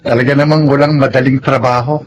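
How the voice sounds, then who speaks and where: A man's voice: medium pitch, steady tone, fast talker, muffled recording, natural voice. A man with a Filipino accent playing a remorseful, hopeful ex-convict father in a radio drama, earnest and respectful. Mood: upbeat. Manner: earnest, respectful to his mother. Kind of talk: Tagalog radio drama acting.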